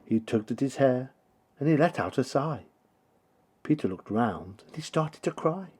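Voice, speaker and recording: A man narrates a rhyming children's picture book in a theatrical, rhythmic way. His pitch moves around in a very lively manner, and the voice has a slightly husky edge. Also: measured pace. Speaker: storybook reader.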